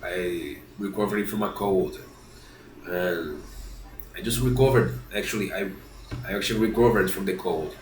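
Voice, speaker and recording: A man's voice: low voice, moderate pace, echoey room, slight background noise. A man with a congested, stuffy nose from a cold, reading a classic novel aloud and often stopping to reread and correct himself. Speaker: reader of a book series.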